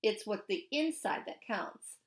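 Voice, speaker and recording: A woman voicing a loving, gently firm mother in a storybook, reassuring, with an American accent. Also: mid-range voice, animated, unhurried, roomy sound, whispered, close to an everyday voice. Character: warm, firm, loving mother of a young girl.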